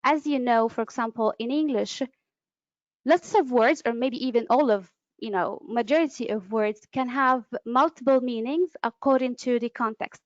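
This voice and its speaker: A woman's voice: fairly high pitch, moderate pace, dry recording. Woman giving a webinar lecture. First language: Arabic. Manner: explanatory, with frequent fillers and restarts. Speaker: webinar presenter, interpreter. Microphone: computer mic, online webinar. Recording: dull, lo-fi webinar audio.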